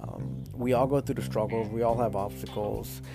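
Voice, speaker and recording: A man's voice: on the low side, fairly even intonation, moderate pace, creaky, music in the background. A man hosting a casual podcast interview, upbeat and chatty, with lots of fillers and restarts. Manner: upbeat, hyped greeting with many fillers and restarts. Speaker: podcast host.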